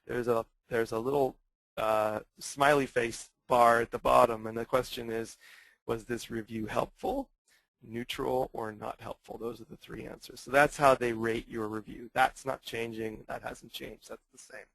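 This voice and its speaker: A man's voice: medium pitch, steady tone, measured pace, creaky, a bit bright, dry recording, poor audio. A man in his fifties hosting a webinar question-and-answer session, speaking hesitantly with many ums and restarts. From born into a German family, raised in the US. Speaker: webinar host running a coaching pilot.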